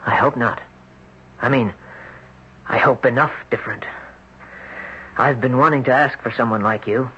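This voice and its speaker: A man's voice: mid-range voice, moderate pace, pauses often, pushed and bright, a little hiss or hum, lightly acted. An actor playing a desperate, haunted male accident survivor, urgent and pleading. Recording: muffled vintage radio broadcast.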